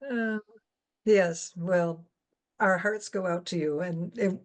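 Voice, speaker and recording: A woman's voice: fairly low pitch, steady tone, takes it slowly. A woman moderating an interview, informal and a little hesitant as she handles the questions. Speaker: guest moderator reading audience questions.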